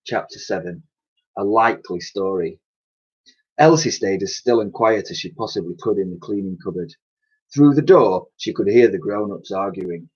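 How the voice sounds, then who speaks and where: A man reading a children's story aloud as a teacher would, in an animated narrating style. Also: on the low side, normal speed, breathy edge. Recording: dull lo-fi recording.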